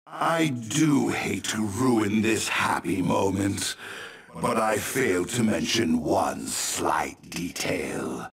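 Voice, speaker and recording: Casting: menacing game-villain demon lord, slow and grandiose, gravelly, with sweeping pitch. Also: male voice, expressive, full character voice. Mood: sly gloating. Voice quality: husky, gravelly. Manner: grandiose, slow, theatrical, commanding. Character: menacing, gloating male demon lord ruling an underworld. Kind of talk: video game villain acting.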